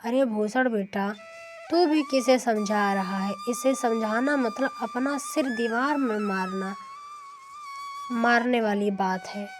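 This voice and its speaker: An outspoken old village woman acted by a female narrator in a cartoon moral tale. She chides with indignation in a fairly high voice, over clear music. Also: slow. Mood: scornful. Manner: indignant, chiding. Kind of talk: Hindi cartoon moral story.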